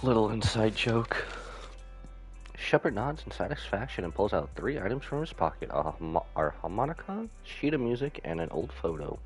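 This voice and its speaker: A man's voice: on the low side, sing-song, moderate pace, soft background music. A man giving casual, slangy live game commentary with skeptical asides. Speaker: game streamer.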